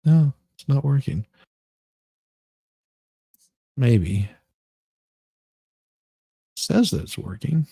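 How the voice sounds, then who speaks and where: A man hosting a livestream, moving between casual chat and mock-dramatic readings with theatrical, drawn-out emphasis. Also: medium pitch, sing-song, vocal fry, mellow, slightly rough, dry recording. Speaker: livestream host.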